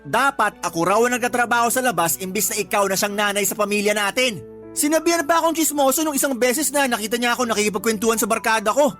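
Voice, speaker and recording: Radio drama role: argumentative, sarcastic husband, easily riled, music underneath. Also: male voice, very high-pitched, fast talker, pushed and bright. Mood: irritated, complaining. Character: hot-tempered, aggrieved live-in husband ranting about his mother-in-law. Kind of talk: Tagalog radio drama acting.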